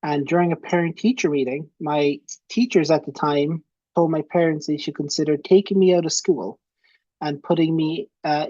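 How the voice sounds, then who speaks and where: A man's voice: fairly high pitch, a little mellow, no room sound, highs cut off. A young man with an Irish accent giving a chatty, friendly webinar presentation from a small room at home, speaking into a headset. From Ireland. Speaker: presenter and software founder.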